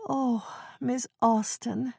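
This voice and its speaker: A woman doing a fretful woman in her seventies, high and quavering. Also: steady tone, extremely slow, gravelly, mellow, breathy edge, no room sound, studio quality, full character voice. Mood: dismayed. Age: 70s. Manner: anxious, flustered, quavering.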